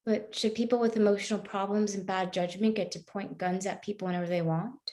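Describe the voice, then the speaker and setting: A woman's voice: medium pitch, steady tone, fairly quick, darkish tone, natural voice. A woman playing a morally troubled woman who questions a cop earnestly and persistently in a live play reading. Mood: probing.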